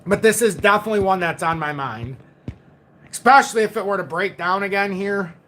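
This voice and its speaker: A man in his forties chatting through market charts on a live stream, fairly high for a man. He loops back on his phrases and answers his chat as he goes. A faint hum of noise sits under his voice. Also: brisk, fairly bright tone. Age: 40s. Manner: talks to chat, reads viewer comments, repeats himself. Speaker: crypto livestream host. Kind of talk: casual chart analysis livestream.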